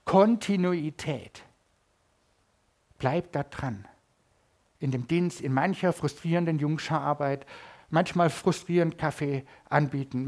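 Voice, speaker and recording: A middle-aged man from Germany, preaching a sermon at a church service and swinging between loud emphasis and quiet asides. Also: on the high side.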